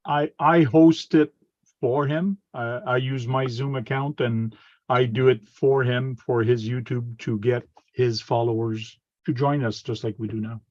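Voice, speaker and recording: Chatty host, dull dry sound. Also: male voice, medium pitch, steady tone, unhurried, a little mellow, no echo. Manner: chatty, asks questions. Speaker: live stream host. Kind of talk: casual video-call chat.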